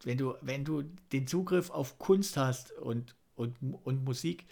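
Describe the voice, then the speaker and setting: Gravelly middle-aged man in casual chat. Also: mid-range voice, unhurried, a little mellow. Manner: tags statements with questioning "ne?". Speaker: podcast co-host.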